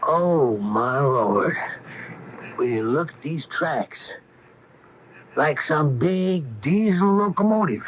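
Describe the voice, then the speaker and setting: Higher-voiced, wary folksy radio-drama man. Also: animated, slow pace, pauses often. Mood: anxious. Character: wary, plain-spoken male companion, eager to get away. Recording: muffled 1980 radio broadcast, light hiss.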